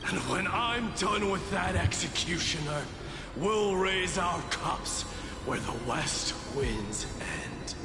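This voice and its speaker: High-voiced, brash warrior prince. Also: male voice, expressive, slow pace, heavily acted. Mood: taunting, proud. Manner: brash, taunting, dramatic. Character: proud, mocking immortal warrior prince of strife. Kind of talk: anime game voice acting. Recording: echoey reverb under loud music. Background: loud game music.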